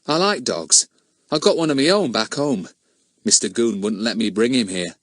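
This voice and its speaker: A man's voice: medium pitch, normal speed, a bit bright, no room sound, studio quality, natural voice. A man voicing a decent, downtrodden village police constable in a children's audiobook, plain-spoken and modest in his phrasing. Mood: wistful.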